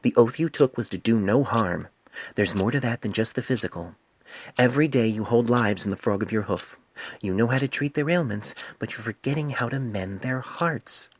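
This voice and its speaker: A man voicing a stern, wise older female pony physician in a storybook reading, speaking in a measured, grave, admonishing way. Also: medium pitch, breathy edge, dry recording, pitched above the performer's own voice. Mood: earnest, admonishing.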